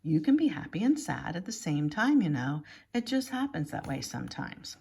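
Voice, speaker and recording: A woman's voice: low-pitched, sing-song, normal speed, creaky, dark tone, husky. A woman reading a children's picture book aloud like a schoolteacher, expressively and with exaggerated emphasis.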